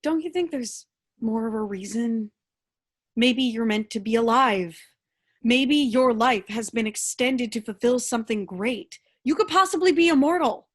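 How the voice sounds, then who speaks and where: An earnest, coaxing woman with a slightly high voice, performed by an actress. She speaks persuasively, as a hopeful friend in a read-through of a comic stage play. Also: animated, measured pace.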